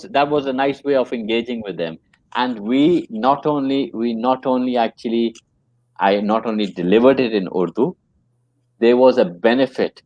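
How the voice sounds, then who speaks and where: A man's voice: on the low side, a little mellow, no echo, low-quality recording. Guy who's a trainer, chatting earnestly, repeats himself a lot, dry close sound. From Pakistan. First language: English. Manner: repeats phrases, earnest storytelling. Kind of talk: casual conversation.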